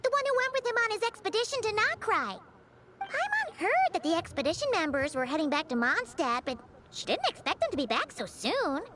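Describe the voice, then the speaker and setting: A woman's voice: very high-pitched, very animated, brisk, noisy background. A woman voicing a small, childlike, chatty and curious fairy companion in a video game, with music behind her. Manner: third-person childlike chatter. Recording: game audio with loud music.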